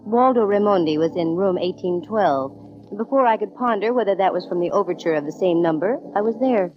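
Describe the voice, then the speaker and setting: An actress playing a cool, wisecracking female private detective in 1940s radio style, brisk, dry and self-assured. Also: medium pitch, lively intonation, close to an everyday voice. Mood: wry narrating. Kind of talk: 1940s radio acting, first-person detective narration. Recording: dull vintage radio recording, music underneath.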